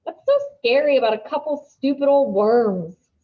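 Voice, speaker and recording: A woman's voice: sits high, animated, moderate pace, muffled recording, voice pushed up. A woman voicing a grumpy, show-off schoolboy in a storybook, scornful and overdramatic. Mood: sneering.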